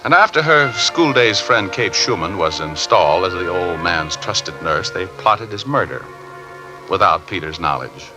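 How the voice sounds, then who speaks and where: Low-voiced wry radio detective. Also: male voice, animated, measured pace, over music, low-quality recording. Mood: matter-of-fact. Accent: American. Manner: wry, conversational, rueful. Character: wry, world-weary male detective recounting a closed case. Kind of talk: 1950s radio detective drama narration.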